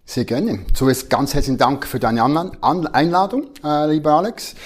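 A man's voice: a little high, moderate pace. Swiss-accented man in his forties. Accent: Swiss German. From Switzerland. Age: 40s. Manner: earnest, with hesitations and self-corrections. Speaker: guest, marketing head. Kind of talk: podcast interview.

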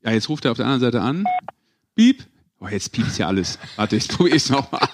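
A man's voice: mid-range voice, big pitch swings, brisk, noisy background. A man in his forties hosting a sports podcast in a casual, conversational style. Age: 40s. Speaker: podcast host.

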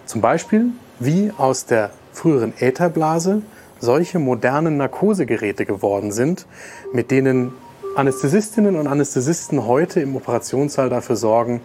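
A man's voice: mid-range voice, animated, normal speed, a little mellow, slight background noise. A man giving a scripted, formal promotional presentation as a doctor, addressing the listeners directly.

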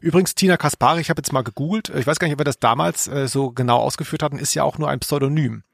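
Gravelly male voice, mid pitch, podcast co-host, casual analytical chat, dry close sound. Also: rapid-fire, no echo.

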